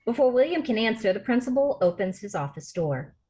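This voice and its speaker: A woman reading a script's stage directions aloud in a steady, matter-of-fact way for a table read over a video call. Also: on the high side, brisk.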